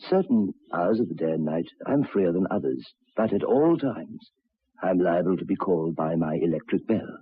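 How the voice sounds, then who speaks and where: An actor playing a troubled, educated railway signalman in an old radio drama, speaking haltingly and repeating his words. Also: low-pitched, animated, slightly rough, no room sound. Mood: resigned. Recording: tinny, band-limited old radio recording.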